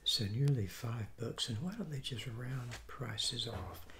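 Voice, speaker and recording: A man in his sixties, hoarse from a cold, reviewing fast food in a soft, half-whispered ASMR style with many restarts, seated at a table indoors. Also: mid-range voice, a little hiss or hum. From Southeastern United States. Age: 60s. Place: small room at a table, indoors. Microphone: fixed camera mic at close range.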